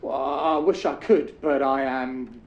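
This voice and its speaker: An actor playing a shy, flustered bookseller, hesitant and evasive, in a scripted game. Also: male voice, fairly high pitch, very animated, slow pace, gravelly, reverberant, highs cut off, a little hiss or hum, heavily acted.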